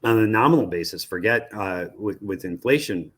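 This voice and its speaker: A man with an American accent and a fairly low voice answers as an expert guest in an interview. He lays out his reasoning step by step in a conversational, explanatory way. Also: expressive, moderate pace. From United States. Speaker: media research executive, interview guest.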